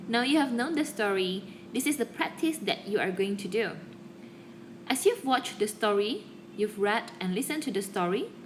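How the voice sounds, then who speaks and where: A woman teacher giving instructions to students, explaining deliberately phrase by phrase. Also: medium pitch, poor audio. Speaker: English teacher. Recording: clear background noise.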